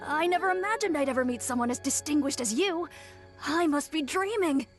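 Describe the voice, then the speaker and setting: A small, timid yokai child in a video game. The voice is very high, shy and deferential, with hesitant stammers and faint music behind it. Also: female voice, animated, brisk. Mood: starstruck. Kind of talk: English game dub acting.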